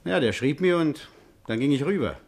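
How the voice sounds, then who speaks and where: An actor in a 1950s German radio crime drama playing an anxious, hot-tempered working-class man who tells his story haltingly. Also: a little high, lively intonation. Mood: uneasy, confiding.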